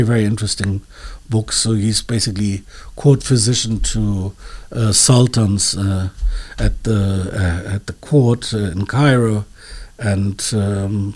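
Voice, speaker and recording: Low-pitched, hesitant academic man. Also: darkish tone. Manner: hesitant, searching for words, academic. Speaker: expert guest, professor. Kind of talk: scholarly interview explanation.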